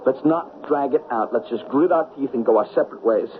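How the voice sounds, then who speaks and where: An actor playing a tormented, terminally ill young man in love, halting and pleading, in an old-time radio drama. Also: medium pitch, lightly acted. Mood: resigned, urgent. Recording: muffled, noisy vintage radio broadcast.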